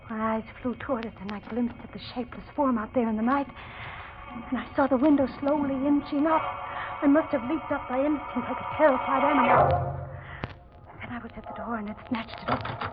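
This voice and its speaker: A woman's voice: mid-range voice, close to an everyday voice. Actress doing a scared young woman telling a murder mystery, breathless old radio drama, muffled and really noisy. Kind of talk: 1940s radio mystery acting, first-person narration. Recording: dull, noisy 1940s radio recording.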